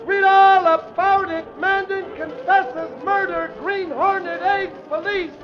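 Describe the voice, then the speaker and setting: A man playing a street newsboy crying out headlines in sing-song shouts in an old radio drama. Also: very high voice, fairly even intonation, takes it slowly. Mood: urgent hawking. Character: shouting street newsboy hawking extra editions. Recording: muffled old radio, music and crowd.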